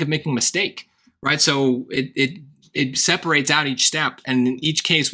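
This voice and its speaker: Bright-voiced man tutoring math, going hoarse. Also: medium pitch. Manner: thinking aloud, wry asides, frequent "right?". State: voice getting hoarse. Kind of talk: casual worked-example lesson.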